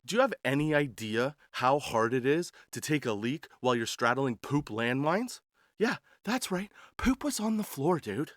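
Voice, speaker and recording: Male, 40s. Sarcastic comic storyteller, crude and slangy, mid-pitched, recorded dry. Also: very animated, moderate pace, a bit bright, no room sound. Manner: slangy, crude, sarcastic, addressing listeners as dude. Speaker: podcast host telling travel stories. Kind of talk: casual comedic storytelling.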